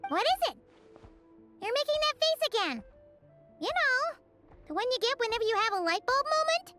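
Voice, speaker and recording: Very squeaky, excitable little fairy companion. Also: female voice, moderate pace, soft background music, full character voice. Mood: chatty, concerned. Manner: squeaky, refers to self in third person. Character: small childlike fairy companion, female, excitable, chatty, cute. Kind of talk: video game voice acting.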